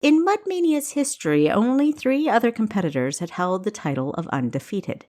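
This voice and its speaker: A woman author narrating her own fiction audiobook in an expressive storytelling style. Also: medium pitch, moderate pace.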